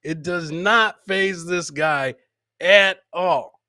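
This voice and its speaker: A man's voice: sits high, drawn-out words, sharp tone, no echo, studio quality. A man hosting a comedy podcast, chatting casually and bantering with viewers in informal, profane language. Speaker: podcast host. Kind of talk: casual comedic livestream chat.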